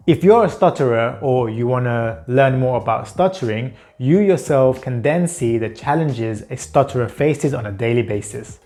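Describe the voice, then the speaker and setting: A man's voice: medium pitch, darkish tone. A young man hosting a personal vlog, talking earnestly about his week, with repeated words and occasional blocks from a stutter. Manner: reflective and earnest. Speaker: channel host.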